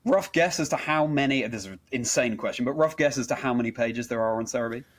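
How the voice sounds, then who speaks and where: A man's voice: mid-range voice, quick pace. A man hosting an interview chat, speaking in an effusive, rather formal and wordy way. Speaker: podcast host interviewer.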